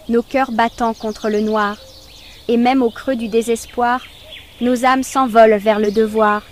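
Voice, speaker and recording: A woman reciting a poem as a narrator in a solemn, measured, declamatory style. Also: on the high side, slight background noise. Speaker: poetry narrator.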